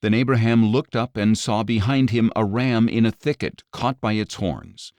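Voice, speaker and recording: A man narrating scripture as an audio Bible reader, with a measured, expressive dramatic delivery. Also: fairly low pitch, no room sound.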